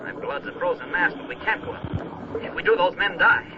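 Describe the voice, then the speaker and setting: Wry, plucky Navy diver, rapid delivery, 1940s radio acting, muffled old recording. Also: male voice, high voice, animated. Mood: grimly resolute. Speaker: actor in a radio drama. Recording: noisy, band-limited 1940s radio recording.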